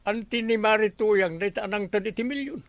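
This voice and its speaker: An actor playing an excitable comic village man with an eye for money in an Ilocano radio drama, with an Ilocano accent. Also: sits high, brisk, no echo. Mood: curious, excited.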